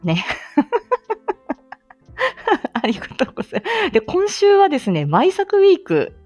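A woman's voice: sits high, huge pitch swings, normal speed, a little mellow, muffled recording, faint music behind. A woman hosting a casual personal audio stream, chatting in a giggly, self-deprecating manner. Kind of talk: casual chat.

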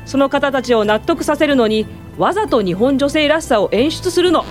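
A confident suffragist strategist in a Japanese radio drama. Her voice is high, and she persuades with shrewd, theatrical assurance. Faint music sits behind her. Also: animated, moderate pace. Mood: shrewd, persuasive.

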